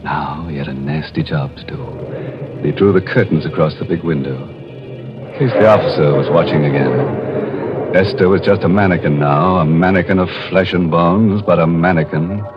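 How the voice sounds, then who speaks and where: An actor playing a knowing, sinister narrator, measured and dramatic, in 1950s radio horror style. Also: male voice, low voice, close to an everyday voice. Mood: ominous, knowing. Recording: muffled vintage radio, music behind.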